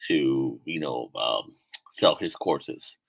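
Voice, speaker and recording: A man's voice: extremely low pitch, animated, takes it slowly, creaky, husky, no echo. A young man hosting a casual stream chat, asking questions with many fillers and restarts. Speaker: streamer host. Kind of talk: casual chat, interviewing a guest.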